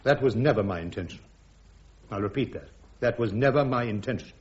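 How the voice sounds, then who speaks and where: An actor playing a defiant British army major defending himself in a radio courtroom drama, with a clipped, sardonic, insistent manner. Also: male voice, mid-range voice, brisk, close to an everyday voice. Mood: defiant, wry. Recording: dull radio drama recording.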